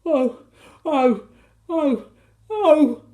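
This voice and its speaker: Storybook mother played by a narrator: tiny anxious woman, raised pitch, fretful exclamations, excitable temper. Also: measured pace, reverberant, full character voice. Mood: sobbing, distressed.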